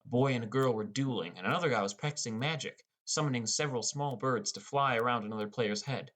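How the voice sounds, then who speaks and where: Male first-person audiobook narrator, medium pitch. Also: fast talker, a bit bright.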